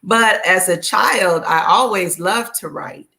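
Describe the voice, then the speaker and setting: A middle-aged woman, a children's book author, talking casually as a guest, reflective and relaxed with many fillers. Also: lowish voice, slow pace, gravelly. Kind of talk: casual conversation.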